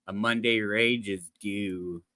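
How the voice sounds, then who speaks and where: A man's voice: on the low side, steady tone, slow pace, sharp tone, no room sound. A man hosting a casual reaction video. He talks to the viewers in slangy, rambling speech with many restarts and fillers, into a desk microphone that sometimes peaks. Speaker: reaction channel host. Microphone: desk microphone, sometimes peaking.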